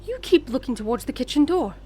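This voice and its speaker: A woman's voice: high-pitched, expressive, quick pace, warm tone, slight background noise. A woman playing a disapproving, exasperated young woman in a comedy audio drama, with a dramatic delivery. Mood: alarmed.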